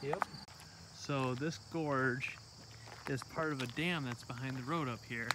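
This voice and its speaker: A man's voice: medium pitch, a little slow. A young man with an ordinary mid-range voice, chatting with playful enthusiasm. He is recorded on a handheld phone, so his voice sits in a noisy mix of mall chatter, car hum and outdoor ambience. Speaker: travel vlogger. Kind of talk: casual vlog chat. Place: mall food court, car and outdoor park.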